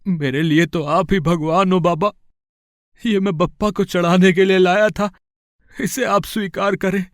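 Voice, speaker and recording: A man's voice: high-pitched, steady tone, a little slow, no room sound, clean studio sound. A man acting a humble, pleading poor fruit seller. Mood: grateful. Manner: pleading, emotional. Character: poor, despairing young male fruit seller, humble and devoted. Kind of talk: dramatised moral story acting.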